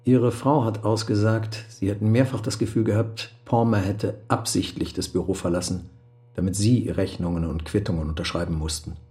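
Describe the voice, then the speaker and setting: An actor playing a plump, businesslike lawyer in a radio drama, speaking in a measured, matter-of-fact way. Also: male voice, lowish voice, fairly even intonation, mellow, natural voice.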